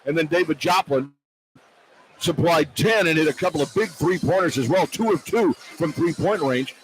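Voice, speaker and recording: A man's voice: on the high side, normal speed, a little hiss or hum, low-quality recording. A man doing live play-by-play radio commentary at a basketball game, recapping as an announcer on an arena broadcast.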